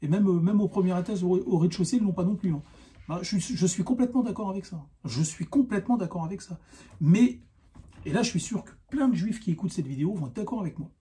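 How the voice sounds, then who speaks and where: A man giving an unscripted, argumentative opinion monologue, insistent and halting, often repeating himself. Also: high voice, warm tone. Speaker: online video commentator.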